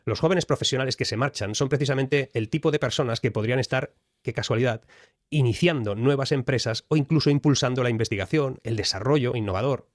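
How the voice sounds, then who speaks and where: A man's voice: mid-range voice, fast, no room sound, studio quality. A man from Spain, delivering fluent, emphatic analytical commentary. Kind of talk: explanatory commentary.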